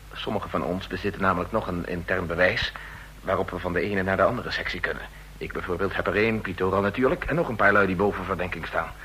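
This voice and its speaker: A man's voice: low-pitched, bright, forceful tone, a little hiss or hum, low-quality recording. An actor playing an earnest, worried male official seeking help in a radio drama. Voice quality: earnest, anxious. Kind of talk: vintage radio drama acting.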